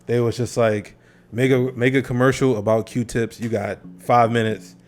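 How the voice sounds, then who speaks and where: A man's voice: medium pitch, little pitch movement, normal speed. A young man, a guest actor, talking casually and reflectively about his past. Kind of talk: casual chat.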